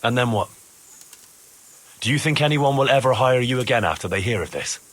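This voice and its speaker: An actor playing an earnest, principled young soldier who argues loyally and challengingly in a video game. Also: male voice, mid-range voice, expressive, brisk, fairly bright tone. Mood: reproachful. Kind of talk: dramatic video game dialogue.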